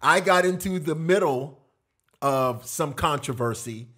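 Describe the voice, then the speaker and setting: A middle-aged man casually telling animated stories as a podcast guest, in a small studio on a boom mic. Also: a little slow. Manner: animated, gestures a lot while telling prison stories. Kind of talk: casual storytelling. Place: small studio with foam panels. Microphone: boom mic on table.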